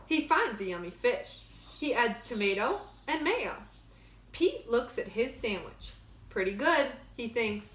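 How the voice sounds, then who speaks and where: A woman reading a children's storybook aloud like a teacher, in simple, expressive phrasing for young listeners. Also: fairly high pitch. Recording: echoey room.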